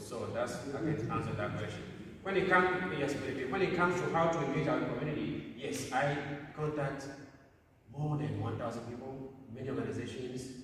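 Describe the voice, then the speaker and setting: Man from Somalia, earnest and emphatic, repeats himself, mid-pitched, heard from far off in a reverberant hall. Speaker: council representative answering questions. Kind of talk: public Q&A answer. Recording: echoey hall, distant mic, faint noise.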